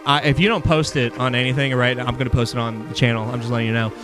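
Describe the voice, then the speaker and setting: A man hosting a livestream game show in a studio with casual, slangy, excitable banter. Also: mid-range voice, quick pace, music in the background. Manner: animated, slangy, often shouting in excitement. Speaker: show host.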